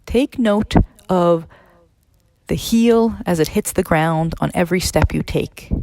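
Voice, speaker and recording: Low-voiced woman guiding a meditation. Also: darkish tone, dry recording. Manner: measured, instructional, with pauses. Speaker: neurologist leading a guided meditation. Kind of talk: guided meditation narration.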